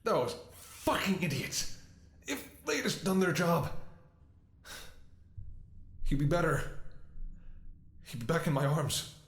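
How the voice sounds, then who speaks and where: A man playing a devoted, desperate doctor caring for his infected lover in an emotional, halting ASMR roleplay, with an echoing sound. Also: fairly high pitch, very animated, exaggerated voice. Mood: anguished, angry. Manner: halting, stammering, emotional delivery. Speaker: voice actor in an audio roleplay. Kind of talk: ASMR dramatic roleplay monologue.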